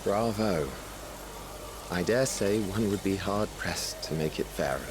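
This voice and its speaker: A man's voice: low voice, measured pace. A man playing a sardonic, proud character in a video game, speaking in a dry, theatrical way. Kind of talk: dramatic video game acting. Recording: noisy background behind the voice.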